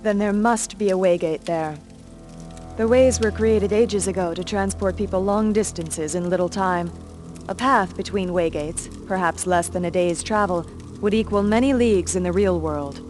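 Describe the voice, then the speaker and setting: Actress for grave female mentor of a magical order, formal and measured, mid-range voice, fantasy game, light music underneath. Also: mid-range voice, a little mellow, close to an everyday voice. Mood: grave warning. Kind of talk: dramatic fantasy game acting.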